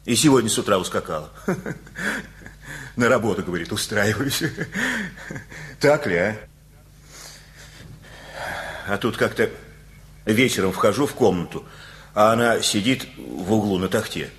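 A man's voice: on the low side, animated, normal speed, long pauses, fairly bright tone. A male actor playing a folksy, talkative, worried father in a radio play, rambling colloquially with chuckles. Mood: anxious rambling.

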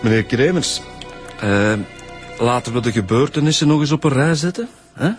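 An actor playing an easygoing male detective with a Flemish accent, calmly coaxing a witness in a radio drama. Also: mid-range voice, moderate pace, faint music behind, lightly acted. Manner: calm, soothing.

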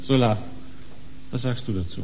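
An actor playing a dogged, impatient police inspector in a radio crime drama, probing and suspicious, with a husky, muted voice. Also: male voice, mid-range voice, normal speed, over loud music, close to an everyday voice. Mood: musing, suspicious. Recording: reverberant hall-like radio drama scene.

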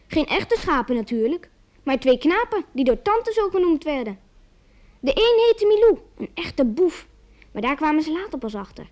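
A woman's voice: sits high, a little mellow. A woman playing an eager village child who naively tells a story in a radio play. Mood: chatty, confiding. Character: eager village child telling mother about the lion fair.

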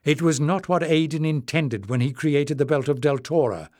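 A man's voice: on the high side, a little mellow, no room sound, clean studio sound, lightly acted. A man voicing an earnest, resolute young king in an audiobook, speaking firmly. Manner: firm, measured.

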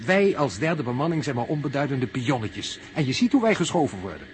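An actor playing a serious, analytical space trainee in a 1960s radio drama, speaking formally and in measured, lecturing phrases. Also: male voice, mid-range voice, very animated, lightly acted. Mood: earnest, probing. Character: serious, analytical male space pilot trainee pondering a mystery. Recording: slightly noisy early-1960s radio recording.

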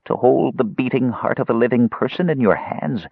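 A man's voice: mid-range voice, normal speed, vocal fry, husky, dry recording, narrow, tinny audio, pitched above the performer's own voice. A man voicing an aged demon hag in a storybook, with a cracking voice, pleading with feigned weakness and underlying menace. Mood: hungry menace.

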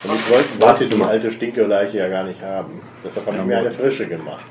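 A man's voice: fairly low pitch, a little fast. A man playing a tabletop game, chatting casually with the group. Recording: echoey room with clear noise.

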